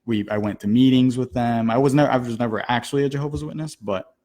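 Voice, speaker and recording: A man's voice: mid-range voice, fast, warm tone. A man of about thirty from Colombia, telling his personal story as a guest in a loose, informal conversational way peppered with "like". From born in Colombia, moved to the US at nine. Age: 30s. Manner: heavy use of "like", loose and informal. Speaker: podcast guest sharing a personal testimony.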